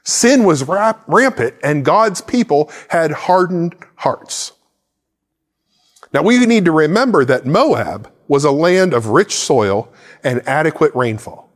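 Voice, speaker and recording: Preaching man with a reverberant sound. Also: a little high. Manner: animated preaching, gesturing with a Bible in hand. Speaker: pastor. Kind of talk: sermon. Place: church stage set with plants and a cross.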